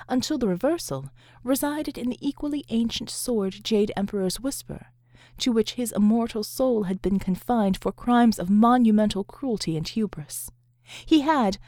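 A woman reading dramatic fiction as an audiobook narrator, in a measured, deliberate way. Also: fairly high pitch, dark tone, no echo, clean studio sound. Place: close, dry recording space.

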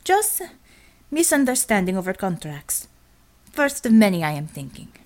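A woman's voice: mid-range voice, sing-song, normal speed. A woman voicing a short-tempered Russian woman commander in a storybook reading, with a Russian accent and a blunt, clipped, emphatic delivery. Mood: sighing, dismissive.

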